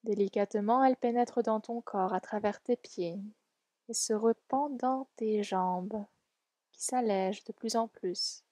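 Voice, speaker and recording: A woman leading a guided relaxation meditation in a soft, soothing, gentle and unhurried way. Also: medium pitch, no echo. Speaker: yoga nidra guide.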